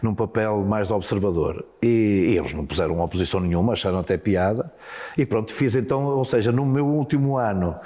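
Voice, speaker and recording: A man's voice: fairly low pitch, fast, warm tone, in a large hall. A middle-aged man with a European Portuguese accent, a former handball player telling stories in an interview, stumbling and repeating words. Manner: hesitant, many repeated words and restarts. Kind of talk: relaxed interview storytelling.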